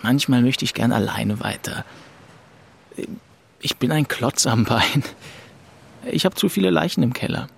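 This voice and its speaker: A man's voice: mid-range voice, lively intonation, unhurried, creaky, pauses often, a little hiss or hum. A man acting a melancholy, drifting twenty-two-year-old who narrates his travels introspectively in a literary radio drama. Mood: self-reproachful. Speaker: narrator and lead actor. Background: music under the voice.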